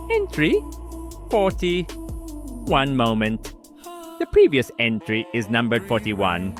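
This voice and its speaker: Man acting clipped, wildly swooping file-keeper. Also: fairly high pitch. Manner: formal, clipped, deadpan. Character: meticulous secretive male file-keeper, dry and paranoid. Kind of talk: dramatised log entries.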